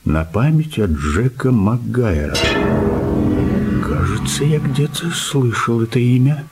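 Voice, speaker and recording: An actor playing an excitable, breezy man who helps a detective in a radio drama. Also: mid-range voice, slow. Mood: pondering. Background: loud music.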